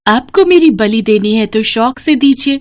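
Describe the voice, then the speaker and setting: A woman voicing a vain, boastful female goat in an expressive animated storybook style. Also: on the high side, normal speed, lightly acted. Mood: defiant. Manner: proud, expressive cartoon delivery.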